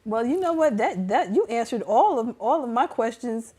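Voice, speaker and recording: Brief: woman host, slightly high voice, encouraging, halting starts. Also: lively intonation, slightly rough. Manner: warm, encouraging, stammers at starts. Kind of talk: casual interview chat.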